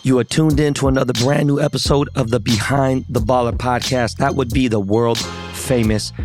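A hoarse man hosting a podcast in casual chat, talking in slangy, profane, hyped-up street style. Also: medium pitch, fairly even intonation, moderate pace, music in the background. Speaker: podcast host.

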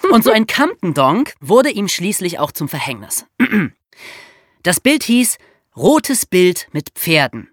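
A woman's voice: fairly low pitch, dry recording, studio quality. A woman co-hosting a podcast, explaining true crime in a colloquial, conversational storytelling way for young listeners. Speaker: podcast co-host.

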